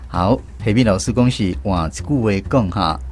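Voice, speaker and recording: A man's voice: fairly low pitch, normal speed, darkish tone. A man with a Taiwanese accent hosting a language quiz on the radio, guiding the discussion. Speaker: host of a language-teaching radio segment. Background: music bed.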